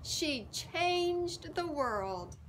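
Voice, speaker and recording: A woman storyteller with a high, bright voice reads a picture book to young children. She takes her time, leaning on key words and pausing for dramatic effect. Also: sing-song, a little hiss or hum. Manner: theatrical, emphatic, with dramatic pauses. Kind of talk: expressive read-aloud for young children.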